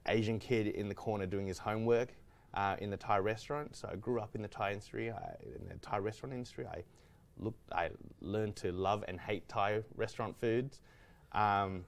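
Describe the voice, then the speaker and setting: A man, a guest chef telling his story in a studio kitchen, with lots of fillers and restarts. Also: fairly low pitch. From Australia. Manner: rambling, many restarts and fillers. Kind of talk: casual studio interview.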